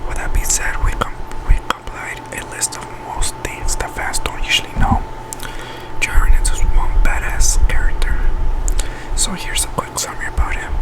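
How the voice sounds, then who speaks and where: A man reading an article aloud in an ASMR whisper, halting and often stumbling over words and correcting himself. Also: poor audio. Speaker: ASMR channel host.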